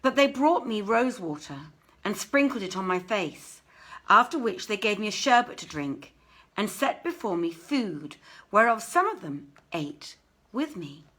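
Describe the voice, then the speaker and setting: A woman narrating an archaic tale as an audiobook reader, in a theatrical, emphatic and deliberate storytelling manner. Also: mid-range voice, very animated, fairly bright tone.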